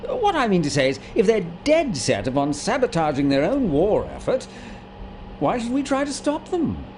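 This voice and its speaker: A fairly high-voiced officer, very animated yet polite and tentative. He reasons aloud in a game cutscene with faint noise. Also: moderate pace. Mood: tentative, reasoning. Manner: hesitant, polite. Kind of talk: video game cutscene acting.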